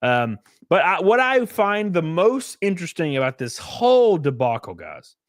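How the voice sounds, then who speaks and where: Sniffly, lively-voiced man chatting. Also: high-pitched, normal speed, no room sound, clean studio sound. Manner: rambling, lots of fillers and restarts. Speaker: commentary streamer. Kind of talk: casual commentary reading chat.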